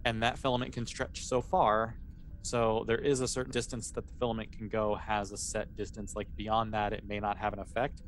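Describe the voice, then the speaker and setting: A man narrating a tabletop sci-fi game as game master, haltingly, often restating his phrases. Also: on the low side, flat delivery, slight background noise.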